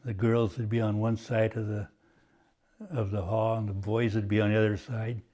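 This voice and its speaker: An older man from Washington State, Croatian as his first language, slowly recalling his family's past with frequent pauses. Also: on the low side, level tone, mellow. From Washington State, USA. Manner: slow and halting, many uh pauses. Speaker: oral history interviewee. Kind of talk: reminiscing, oral history.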